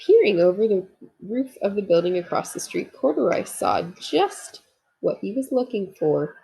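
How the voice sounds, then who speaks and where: A woman narrates a picture book for young children. Her voice is markedly husky with some fry, and her pitch moves in lively, expressive sweeps as she speaks to the listeners. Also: normal speed, poor audio. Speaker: storybook reader. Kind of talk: children's read-aloud narration with asides.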